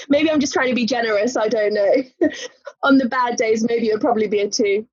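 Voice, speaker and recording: A woman's voice: a little high, fairly quick, slightly rough, no echo. A woman, a lawyer talking as a podcast guest in a chatty way, with light laughs and many "you know" fillers. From England. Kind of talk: casual interview conversation. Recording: muffled, dry podcast audio.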